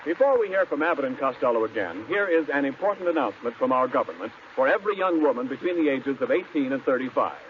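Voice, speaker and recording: Emphatic American radio announcer, muffled vintage sound. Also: male voice, mid-range voice, very animated, fast, hardly any pauses. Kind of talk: 1940s scripted radio announcing and advertising. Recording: muffled, noisy 1940s radio recording.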